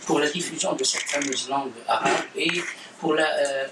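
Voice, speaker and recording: Man, interviewee, measured and hesitant, distant and echoey recording with background noise. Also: on the high side. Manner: deliberate, with many fillers and restarts. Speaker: interviewee explaining language. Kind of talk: measured explanation.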